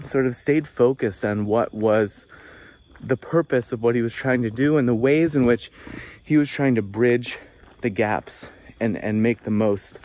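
A man's voice: medium pitch, mellow. A man in his thirties musing casually while walking a wooded trail, filming himself on a phone at arm's length, with many fillers and restarts. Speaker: vlogger answering viewer questions. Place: outdoors on a wooded forest trail.

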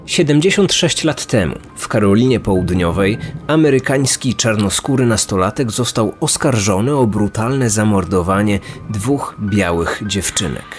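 A man hosting a podcast, reading a scripted true-crime narration. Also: on the low side. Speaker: podcast host. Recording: music under the voice. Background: background music.

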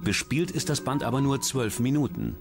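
A man's voice: medium pitch, moderate pace, over music. A man reading scripted true-crime narration as a documentary narrator.

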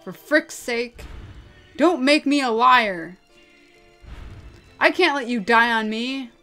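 A woman's voice: medium pitch, normal speed, fairly bright tone, faint music behind, lightly acted. Woman doing a brash, hot-tempered young man. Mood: irritated. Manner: gruff, blunt, impatient. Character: hot-tempered, brash young male killer named Zack. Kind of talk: anime-style dramatic character acting.

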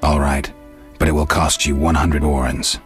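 A stoic, world-weary monster slayer in a fantasy video game. The voice sits extremely low, with terse, deadpan delivery. Faint music runs behind it. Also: male voice, normal speed. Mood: calm, terse.

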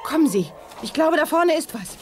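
An actress playing an alert, plucky adventure heroine in a children's sci-fi radio drama, earnest, brisk and dramatic. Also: female voice, high-pitched, very animated, faint music behind. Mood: watchful.

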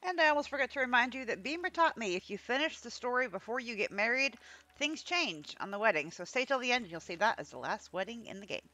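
A woman's voice: on the high side, animated, fast talker, poor audio. A woman hosting a gaming video, introducing it in a chatty, informal, casual way.

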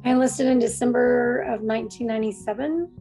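A woman in her forties, a Navy veteran, reflecting earnestly on a webcam in a room at home, with frequent pauses and fillers. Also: fairly high pitch, steady tone, mellow, faint music behind. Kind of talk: recorded testimonial.

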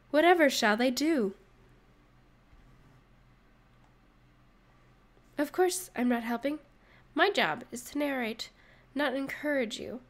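A woman playing a sarcastic narrator in a comedic audio roleplay, teasing and mock-sympathetic as she mocks a listener. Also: sits high. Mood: dry mockery. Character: sarcastic, mocking narrator gleefully needling a hungover catgirl. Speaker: voice actress.

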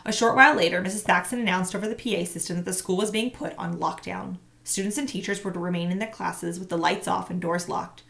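Expressive teacher reading a storybook, gravelly. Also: female voice, on the low side, fast, few pauses. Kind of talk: read-aloud storytelling. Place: small home room. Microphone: webcam at close range.

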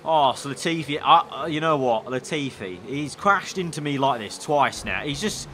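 A young man commentating a racing game, excitable and slightly hoarse, breaking into shouts at crashes. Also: medium pitch, normal speed, a little hiss or hum. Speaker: gaming YouTuber.

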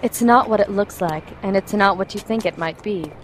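A woman playing a composed, wry assassin, explaining things in a measured way in an audio drama. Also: mid-range voice, slight background noise, lightly acted.

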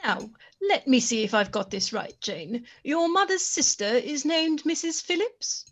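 Actress doing a snobby genteel lady, high voice, nosy, period drama. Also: lively intonation, unhurried. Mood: probing, condescending. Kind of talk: period radio play acting. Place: room at home, on a video call.

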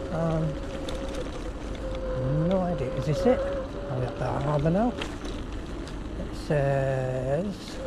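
Higher-voiced man chatting over clear outdoor noise. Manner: rambling, thinking aloud, reading signs out. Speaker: vlogger on an electric bike ride. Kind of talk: casual running commentary while cycling. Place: outdoors on roads, riding a bicycle. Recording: outdoor action-camera audio with clear wind and traffic noise.

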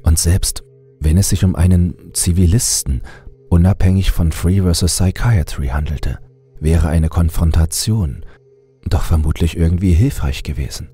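A man's voice: low voice, moderate pace, dark tone, breathy edge, soft background music. A man reading a scripted horror story as narrator.

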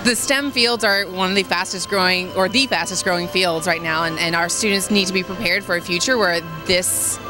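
A woman's voice: lowish voice, fairly quick, few pauses, music in the background. A woman teacher giving an earnest on-camera interview at a school expo, with a few restarts. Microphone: handheld mic held near.

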